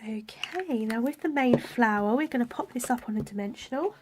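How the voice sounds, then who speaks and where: A woman talks through a card-making project with a head cold, her voice stuffy and a little raspy. She speaks softly as she works, at times close to a whisper. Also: on the high side, animated, a little fast, background noise. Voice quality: raspy, blocked-up nasal voice. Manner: soft, partly whispered while working. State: congested from a cold. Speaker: stamping craft demonstrator. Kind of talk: craft tutorial.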